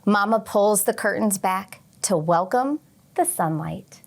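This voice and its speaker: Female teacher, very unhurried delivery, mid-range pitch, expressive children's read-aloud addressed straight to young listeners. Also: vocal fry. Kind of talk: children's picture book read-aloud with short teaching asides.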